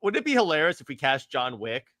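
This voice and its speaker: A man hosting a casual movie discussion, talking in an excitable, emphatic way. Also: on the high side, fast talker. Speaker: podcast host.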